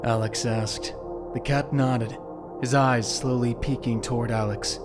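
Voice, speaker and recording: A man's voice: medium pitch, steady tone, unhurried. Man narrating a drama over music. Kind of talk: scripted dramatic story narration.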